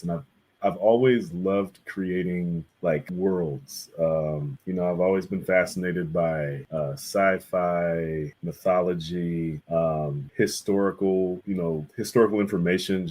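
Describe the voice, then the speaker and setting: A man in his thirties with a low, slightly husky voice. He chats as a guest in a casual interview, spinning enthusiastic, meandering stories. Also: a little mellow. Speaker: guest artist and art teacher.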